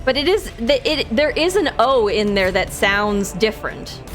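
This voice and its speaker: A chatty woman with a Minnesota accent talks casually while she plays, rambling from one thought to the next and laughing often. Her voice sits a little high for a woman. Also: very animated, moderate pace, a bit bright, soft background music. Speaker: game streamer. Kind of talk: casual chat while playing.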